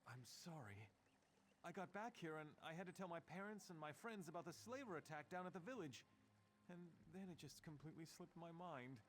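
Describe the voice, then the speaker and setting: A man's voice: on the high side, noisy background, lightly acted. An actor voicing a forgetful young male messenger in a fantasy game, flustered and apologetic. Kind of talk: game voice acting.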